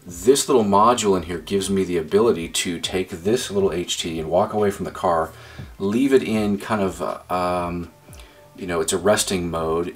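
A man giving a casual hands-on demonstration as a radio hobbyist, explaining informally and thinking aloud as he goes. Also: sits low. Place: indoors at a desk.

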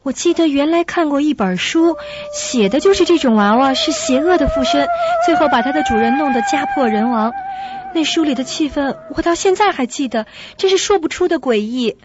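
A woman playing a gentle, anxious young secretary in a radio drama, speaking in a hesitant, subdued way. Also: high voice, sing-song, a little fast, highs cut off, very noisy. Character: gentle, anxious young office secretary, resigned and self-effacing.

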